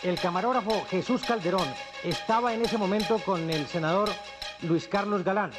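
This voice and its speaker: A man with a Colombian accent delivering an urgent breaking-news bulletin in a grave, halting, formal manner. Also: high-pitched, music in the background. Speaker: news anchor.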